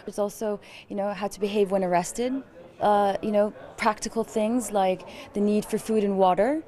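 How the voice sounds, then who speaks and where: A woman answering interview questions haltingly, with many fillers. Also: medium pitch, steady tone, dark tone.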